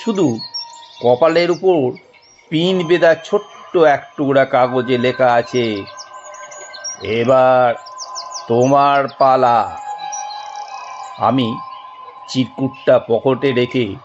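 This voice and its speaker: A man narrating a detective story in the first person, theatrical and suspenseful, with deliberate phrasing. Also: mid-range voice, highs cut off, soft background music.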